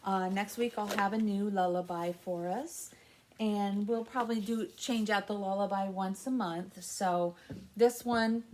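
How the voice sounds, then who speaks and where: A woman's voice: medium pitch, darkish tone. A middle-aged woman leading a baby story time as a children's librarian, explaining things simply and encouragingly to parents in a sing-song way. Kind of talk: instructional talk to parents with songs and rhymes. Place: small indoor room with children's alphabet backdrop.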